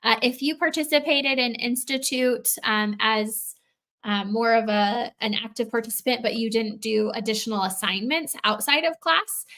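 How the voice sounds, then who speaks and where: A woman's voice: on the high side, normal speed, fairly bright tone. A woman on a live stream answering viewers' questions in a patient, explanatory way, with frequent filler words. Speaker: program staff answering questions.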